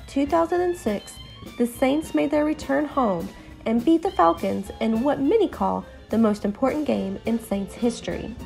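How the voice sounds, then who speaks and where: A woman co-hosting a podcast, reading a scripted history with lively, earnest emphasis. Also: high-pitched, gravelly. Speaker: podcast co-host. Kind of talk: scripted narration.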